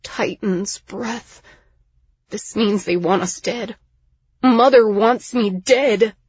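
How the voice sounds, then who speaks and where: A woman voicing a wounded young man of noble birth in an audiobook, halting and defensive in manner. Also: fairly low pitch, slightly rough, no echo, highs cut off. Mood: stricken.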